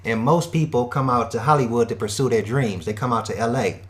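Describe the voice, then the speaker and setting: A man hosting an online radio show, talking in an energetic, slangy hype style with catchphrases. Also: medium pitch, brisk. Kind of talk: hype radio host patter.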